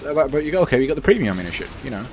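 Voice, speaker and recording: A man with a croaky, hoarse voice, giving rambling, blunt gameplay commentary and often correcting himself. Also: medium pitch, big pitch swings, racing, slight background noise. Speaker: gaming commentator. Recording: game sounds clearly behind the voice.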